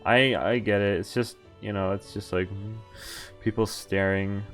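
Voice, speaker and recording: A man streaming a visual novel, reading its lines aloud and breaking off to react and explain, with animated exclamations and groans. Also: on the low side, a little slow, soft background music.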